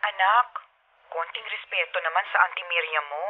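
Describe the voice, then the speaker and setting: Low-voiced pleading mother over internet call. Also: normal speed, breathy edge. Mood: gently chiding. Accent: Filipino. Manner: placating, coaxing. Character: pleading, conciliatory middle-aged mother working away. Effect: video call filter. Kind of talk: Filipino radio drama acting. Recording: dull, band-limited internet call sound.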